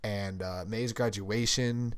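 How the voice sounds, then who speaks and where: Hoarse young man with a scratchy voice. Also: fairly even intonation, slow pace, dry recording. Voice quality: deep, gravelly, scratchy. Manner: rambling, upbeat, laughs often. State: hoarse, voice strained from screaming. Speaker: podcast co-host. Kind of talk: casual chat.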